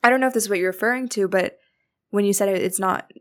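A woman's voice: medium pitch, fast, a bit bright. A young woman hosting a podcast, supportive and thoughtful as she asks her guest questions. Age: young adult. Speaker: podcast host. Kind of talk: casual interview chat.

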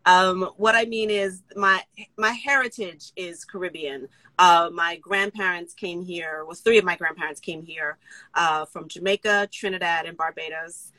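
A middle-aged woman from New tells stories with a comedian's timing, tossing in quick asides. Her voice is bright and forward. The sound is dry and close, on a phone. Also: lowish voice, fairly even intonation, no echo, rough recording. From New York City. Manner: jokey storytelling, quick asides. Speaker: guest comedian. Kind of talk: casual interview chat.

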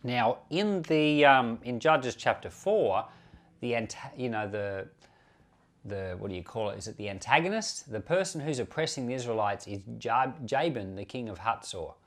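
A man's voice: medium pitch, expressive, moderate pace. Man giving informal, conversational Bible teaching. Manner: conversational, unscripted, explaining with self-corrections and asides. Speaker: pastor and Bible podcast host.

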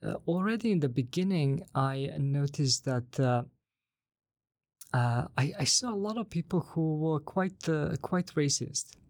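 Gravelly Bosnian-accented man, halting and thoughtful. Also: fairly high pitch, lively intonation, darkish tone, no echo. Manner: hesitant, halting, repeats words as he thinks. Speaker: interviewed author and academic. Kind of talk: conversational interview.

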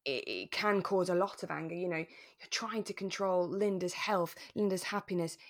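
A woman co-hosting a podcast, talking through a radio drama in a relaxed, thoughtful, explanatory way. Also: fairly low pitch, normal speed. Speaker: podcast co-host.

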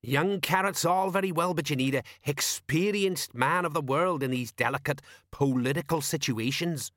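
Storybook police sergeant, read by a man: self-important, slow-witted old hand, colloquial lecturer, raised pitch, dry close recording. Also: a bit bright, no room sound, lightly acted. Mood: smug, knowing.

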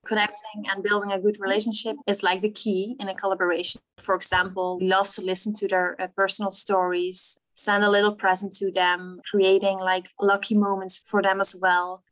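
A woman's voice: mid-range voice, little pitch movement, a little fast, hardly any pauses, fairly bright tone, poor audio. Young Dutch woman, earnest guest. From Netherlands. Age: 20s. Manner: earnest, talks in lists with fillers. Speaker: marketing team lead guest. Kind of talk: casual interview chat.

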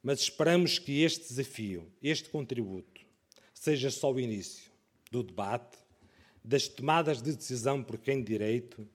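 A man delivers the close of a prepared speech at a parliamentary session. He speaks earnestly, at an ordinary middle pitch. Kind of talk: formal speech.

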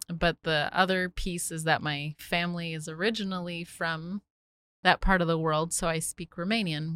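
A woman with a bright, crisp voice chats in a friendly, easygoing way, with a touch of self-deprecation. She is recorded close and dry. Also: lowish voice, no echo. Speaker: missions team volunteer. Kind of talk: casual interview answers.

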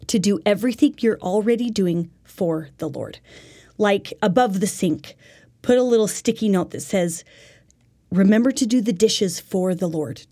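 A woman's voice: mid-range voice, dark tone, breathy edge. A woman presenting a religious self-help lesson in an earnest, instructive way.

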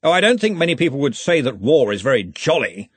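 A man's voice: medium pitch, big pitch swings, fast, husky, no room sound, voice pushed up. A man voicing a pompous, commanding older wizard who runs a college, in an audiobook. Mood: pompous, assured. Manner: blustering, bellowing, commanding. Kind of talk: audiobook character acting.

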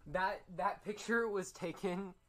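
A young man co-hosting a casual podcast, rambling with restarts and sudden loud outbursts, talking into a shared desk microphone. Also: high voice, unhurried, slight background noise. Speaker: podcast co-host. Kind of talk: casual chat.

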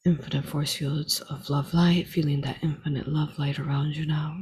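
A woman leading a slow guided meditation in a hushed, unhurried, breathy manner. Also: low voice, level tone, background noise.

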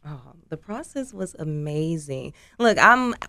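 Female interview guest, notably low and smooth, animated colloquial delivery, dry sound. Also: measured pace, no echo. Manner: animated, colloquial, acts out quotes. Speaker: guest, actress. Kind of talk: casual interview chat.

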